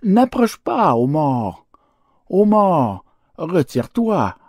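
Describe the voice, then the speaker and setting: A man's voice: fairly high pitch, very animated, very slow, a little mellow, dry recording, clean studio sound, voice pushed up, full character voice. A man voicing a wretched fellow in a fable, pleading with death in a melodramatic, exclamatory way. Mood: horrified panic. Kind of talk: theatrical fable reading.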